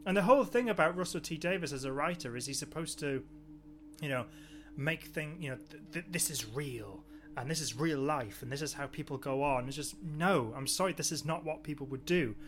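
Sarcastic man ranting, slightly high voice. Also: brisk, slight background noise. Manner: exasperated, sarcastic, animated complaining. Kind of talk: casual podcast chat reviewing a TV episode.

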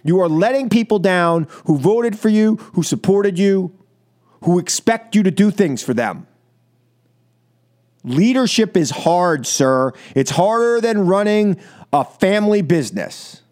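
High-pitched American man, scolding radio host. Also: lively intonation, unhurried, darkish tone. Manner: emphatic, scolding, speaks in short repeated phrases. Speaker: radio talk show host. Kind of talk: political opinion monologue on call-in radio.

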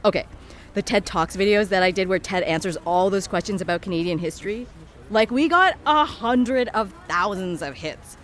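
An actress playing an eager young assistant with a gushing, informal, chatty way of talking. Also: female voice, mid-range voice, normal speed, a bit bright, close to an everyday voice. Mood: excited. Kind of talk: audio drama acting.

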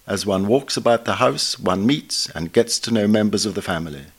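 A man reading a scripted book review aloud in a formal, measured, scholarly manner. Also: on the low side, animated, slightly rough.

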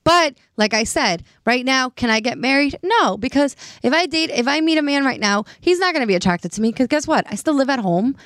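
A woman's voice: sits high, animated, brisk, few pauses, fairly bright tone, no room sound, clean studio sound. A woman in her late twenties co-hosting a casual podcast chat, earnest and often stopping to find her words. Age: 20s. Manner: earnest, searching for words, many restarts. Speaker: podcast co-host.

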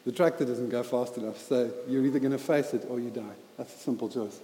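A man in his thirties with a South African accent, preaching a sermon with a personal story in a halting, earnest way with many fillers. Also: medium pitch, mellow, a little hiss or hum. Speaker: lay preacher. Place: church hall. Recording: echoey hall with long reverb.

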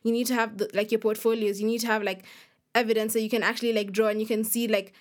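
A woman's voice: on the high side, little pitch movement, fast talker. A young woman from Kenya being interviewed as a guest, talking casually and a little hesitantly, often saying "like". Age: young adult. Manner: informal, hesitant, frequent "like". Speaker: podcast guest, young author.

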